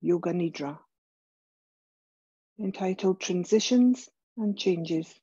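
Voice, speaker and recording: A woman leading a guided relaxation meditation as a yoga teacher, gentle and soothing, speaking in measured phrases with pauses. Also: lowish voice, breathy edge, no echo, highs cut off.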